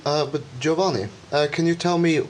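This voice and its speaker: A man's voice: fairly high pitch, steady tone, a little fast, slight background noise. A young man hosting a student talk show, asking guests questions with frequent fillers. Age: teens or young adult. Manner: leads questions, hesitant fillers.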